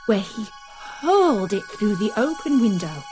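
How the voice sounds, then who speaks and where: A woman narrating a scary children's story in a deliberate, dramatic, expressive way. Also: mid-range voice, music in the background.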